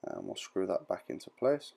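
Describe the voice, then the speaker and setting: A man's voice: deep voice, huge pitch swings, normal speed, gravelly, warm tone. A man giving an informal step-by-step tutorial voice-over in a plain-spoken, reassuring, explanatory manner. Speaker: repair tutorial presenter.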